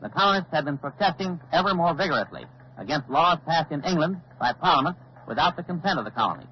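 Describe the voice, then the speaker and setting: A male actor plays an authoritative radio news analyst, a man with a slightly high voice. His commentary is formal, grave and deliberate in 1940s style. The old recording is muffled, with faint noise. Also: close to an everyday voice.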